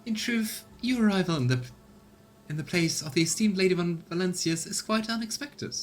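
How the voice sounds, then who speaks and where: A man's voice: high voice, animated, moderate pace, slight background noise, voice pushed up. A man voicing a gracious noblewoman governor who speaks in a formal, ceremonious way, for a game read-along. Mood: courtly, gracious.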